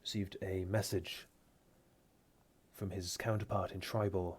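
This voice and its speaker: A man as a grave, deep-voiced knight. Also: flat delivery, slightly rough. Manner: formal, measured briefing.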